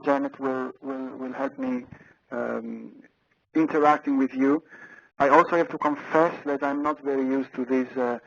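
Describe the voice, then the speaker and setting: A man's voice: mid-range voice, steady tone, moderate pace, vocal fry, fairly bright tone, no room sound. A man with an Italian accent presenting a webinar over a phone handset, hesitant, with many fillers and repeated words. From Italy. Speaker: webinar speaker. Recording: muffled phone line.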